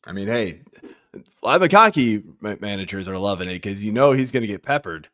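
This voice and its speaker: A man's voice: lowish voice, lively intonation, a little fast, a bit bright, no echo, clean studio sound. A man in his thirties, a guest analyst on a sports podcast, talking casually and rambling with lots of "I mean.". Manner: rambling, full of restarts and "I mean". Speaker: guest fantasy football analyst.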